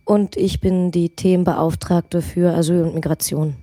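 A woman's voice: lowish voice, flat delivery, measured pace, mellow, no room sound, studio quality. A woman from Germany, a local politician, talking on a podcast in a thoughtful way with many hesitations. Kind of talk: casual podcast conversation.

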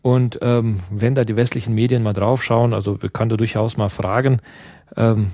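A man's voice: fairly low pitch, fairly even intonation, moderate pace, dark tone, no echo. A man from Russia giving an interview in a second language, explaining earnestly and searching for words as he goes. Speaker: interview guest, party activist.

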